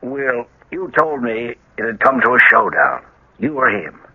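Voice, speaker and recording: An actor playing an insistent, suspicious friend in an old radio drama. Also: male voice, medium pitch, very animated, a little slow, husky. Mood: accusing. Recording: tinny, narrow old radio recording.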